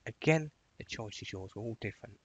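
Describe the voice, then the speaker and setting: A man narrating a step-by-step software tutorial in an informal, chatty instructional way. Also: on the low side, lively intonation, fairly quick. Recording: dull, lo-fi, dry close recording.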